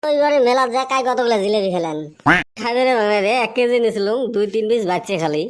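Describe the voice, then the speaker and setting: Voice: mid-range voice, lively intonation, quick pace, clear, resonant tone, lightly acted. A performer voicing a cheeky, complaining village youth in a comic cartoon skit. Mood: bantering.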